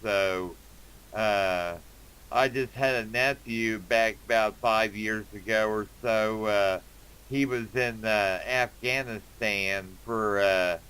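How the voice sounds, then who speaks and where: A man chatting casually as an amateur radio operator, speaking in a halting way with many pauses. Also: fairly low pitch, a bit bright, clear, resonant tone, poor audio. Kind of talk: casual ham radio conversation.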